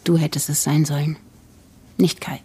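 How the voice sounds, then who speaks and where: A woman's voice: sits low, level tone, moderate pace, warm tone, breathy edge. Cold, snobbish woman politician, condescending. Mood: contemptuous. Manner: condescending, coolly matter-of-fact. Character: cold, snobbish, scheming female politician with cruel candour. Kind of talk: audio drama acting.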